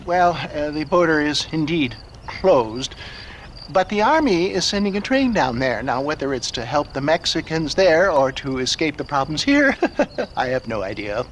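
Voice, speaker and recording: High-pitched, theatrical old huckster. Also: male voice, very animated, measured pace, a bit bright, a little hiss or hum. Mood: evasive, jovial. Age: older. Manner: florid, hammy, hesitant with frequent chuckles. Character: flamboyant, wily old huckster salesman in the Old West. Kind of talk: theatrical video game character acting.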